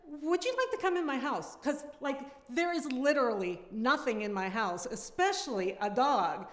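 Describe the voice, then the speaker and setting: A woman in her sixties playing an exasperated, swearing woman ranting loudly and incredulously about a neighbor. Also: high voice, expressive, normal speed. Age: 60s. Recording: long hall reverb.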